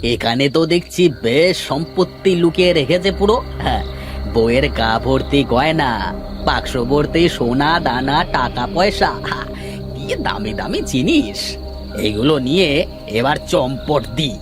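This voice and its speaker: A man's voice: medium pitch, expressive, unhurried, natural voice. A man voicing a cowardly, whining petty thief in a cartoon, given to stammering and wailing. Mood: gleeful, sly. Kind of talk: Bengali cartoon voice acting. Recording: music behind.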